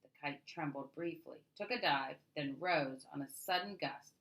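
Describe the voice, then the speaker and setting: A woman reading a children's story aloud as an expressive narrator. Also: deep voice, moderate pace, low-quality recording. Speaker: storybook reader.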